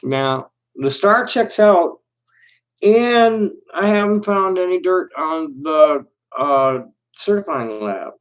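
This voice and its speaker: A man hosting a solo livestream, reading aloud slowly and deliberately, then chatting in a halting, rambling way with many restarts. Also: high voice, expressive, clear, resonant tone. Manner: reads aloud with deliberate slow pauses. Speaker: livestream host. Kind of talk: casual solo livestream chat.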